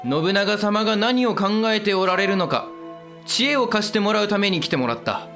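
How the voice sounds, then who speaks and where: An earnest young samurai lord, with a high voice for a man. His speech is courteous, formal and old-fashioned, period audio drama style, over clear background music. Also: animated, moderate pace, fairly bright tone. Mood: puzzled, probing. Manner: formal, deferential warrior speech.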